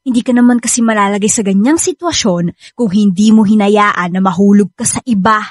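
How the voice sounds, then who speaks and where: An actress playing a frank, pushy young best friend with a Filipino accent in a radio drama. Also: female voice, mid-range voice, brisk, a little mellow, no echo, clean studio sound, lightly acted. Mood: challenging.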